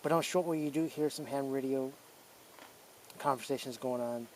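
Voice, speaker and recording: A man's voice: medium pitch, steady tone, normal speed, low-quality recording. Man casually demonstrating gear, faint background noise. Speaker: shortwave radio hobbyist presenter. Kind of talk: casual hands-on demonstration commentary.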